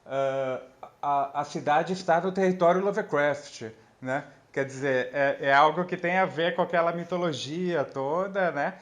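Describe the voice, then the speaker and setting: A man's voice: a little high, unhurried. A man, a professor and author, explaining thoughtfully in conversation, hesitating with many fillers and restarts.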